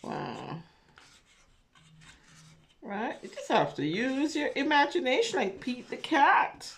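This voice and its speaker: A woman reading a picture story aloud and chatting to preschoolers in an animated, encouraging, sing-song way, speaking directly to them. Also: high-pitched, slow. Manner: animated, encouraging, talking directly to small children. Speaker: children's story reader and craft host. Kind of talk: read-aloud and chat for preschoolers.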